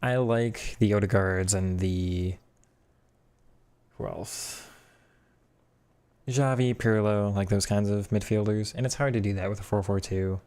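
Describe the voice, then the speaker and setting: A hoarse young man with a very deep voice talks through a football video game in loose, casual commentary. He muses on tactics and mutters quick reactions to each pass and touch. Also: steady tone, a little mellow. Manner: thinking aloud, reacting to play. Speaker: gaming streamer.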